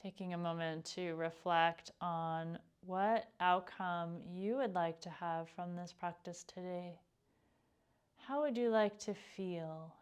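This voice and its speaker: A woman leading a guided meditation as a teacher, speaking in a calm, gentle, unhurried way with pauses. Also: fairly low pitch, clean tone. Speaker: yoga nidra meditation teacher.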